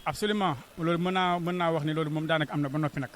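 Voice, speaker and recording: Senegalese male interviewee, slightly high register, very even intonation, community organiser. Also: very fast. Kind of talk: interview answer.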